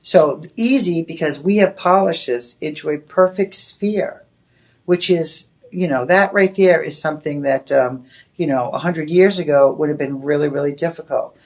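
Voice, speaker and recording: A woman with a Boston accent, hosting a live home shopping show and pitching products in a chatty, rambling way. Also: normal speed, darkish tone. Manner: chatty, rambling, with many "you know"s. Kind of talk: live on-air sales pitch. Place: TV shopping studio.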